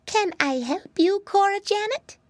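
A woman voicing an excitable, polite little boy piglet in a storybook, eager and exclamatory. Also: very high-pitched, measured pace, no echo, pitched above the performer's own voice, heavily acted. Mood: excited.

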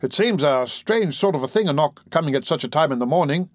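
A man voicing a pompous, self-important male servant in a storybook, telling his tale with drawn-out emphasis. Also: a little high, expressive, dry recording, pitched above the performer's own voice. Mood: nervously composed. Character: pompous male household servant proudly recounting a robbery. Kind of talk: dramatised storybook dialogue.

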